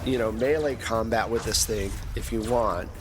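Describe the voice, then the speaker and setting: A man's voice: on the low side, moderate pace. Male game master over prominent music. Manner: conversational, improvised narration. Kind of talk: narrating a tabletop role-playing game. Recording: loud music bed behind voice.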